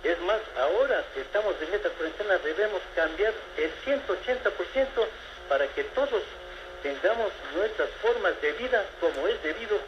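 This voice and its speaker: A man in his seventies calls in, speaking politely and formally but wandering through his point with frequent restarts. His voice sits a little high, and the line sounds muffled and roomy, with a noisy background. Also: expressive, normal speed. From Ecuador. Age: 70s. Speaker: caller. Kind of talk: phone-in complaint to a radio show. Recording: echoey, muffled phone-in with background noise.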